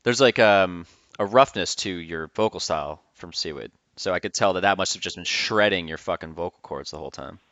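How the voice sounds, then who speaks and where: A man's voice: sits low, fairly quick, a bit bright, no echo. A man hosting a podcast, chatting casually and enthusiastically, swearing freely. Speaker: podcast host. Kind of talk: casual interview chat. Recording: dull dry lo-fi sound.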